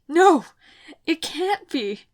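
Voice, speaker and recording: A woman's voice: high-pitched, big pitch swings, very slow, darkish tone, voice pushed up, exaggerated voice. A woman voicing a young female knight who cries out desperately over her dying mentor.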